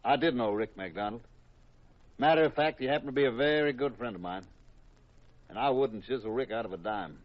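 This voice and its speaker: An actor playing a big, fast-talking used-car salesman, folksy and quick to turn belligerent, in 1950s radio drama style. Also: medium pitch, natural voice. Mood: indignant. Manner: glib sales patter, evasive stammering. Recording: dull vintage radio recording.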